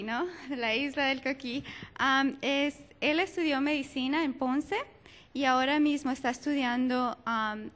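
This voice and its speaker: High-voiced woman moderating a youth panel.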